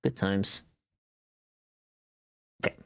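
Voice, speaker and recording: A livestream host chatting casually, rambling and thinking aloud to themselves. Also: drawn-out words.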